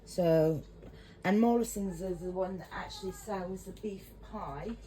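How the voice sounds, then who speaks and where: A middle-aged English woman rambles in a chatty, slightly self-conscious way in her home kitchen. Her voice sits fairly low and she talks unhurriedly. The recording carries a clear layer of background noise. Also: measured pace, mellow, rough recording. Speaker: home cook and carer. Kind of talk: casual cooking vlog.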